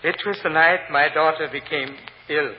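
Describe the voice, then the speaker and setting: A man playing a humble, earnest immigrant grocery clerk with a Spanish accent, testifying in halting, deferential broken English in a vintage radio drama. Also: fairly high pitch, steady tone, close to an everyday voice. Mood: anxious, sorrowful. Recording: muffled old radio recording, hiss, long reverb.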